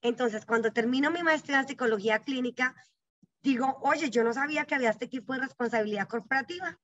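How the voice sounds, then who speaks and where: A middle-aged woman talks through her life story as an interview guest, earnest and reflective, often checking in with short tag questions. Her voice sits high and sounds bright and crisp. The recording is dry and slightly dull, like a lo-fi setup. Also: fast talker, dry recording. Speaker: guest, psychologist and bank executive.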